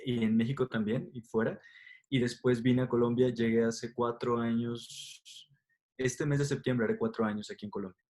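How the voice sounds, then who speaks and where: A man's voice: medium pitch, mellow. A man with a Mexican accent answering interview questions as a Buddhist teacher, explaining didactically with rhetorical questions and tags.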